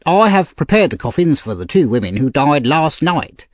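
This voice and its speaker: A man's voice: a little high, big pitch swings, normal speed, no echo, voice pushed up. A man voicing a tall, thin undertaker in an audiobook, civil, fussy and genteel. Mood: civil, curious. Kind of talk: audiobook character voice.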